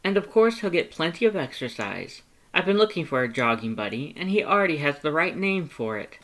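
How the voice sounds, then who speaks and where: Proud skeleton character, raised pitch, bombastic, emphatic, formal, earnest, storybook cartoon style. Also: male voice, big pitch swings, a little fast, a bit bright. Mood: earnest, approving.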